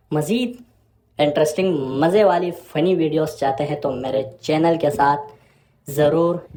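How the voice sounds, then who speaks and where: Slow-speaking man with faint background noise. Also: on the high side, a little mellow, rough recording. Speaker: video channel host. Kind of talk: channel outro narration addressed to viewers.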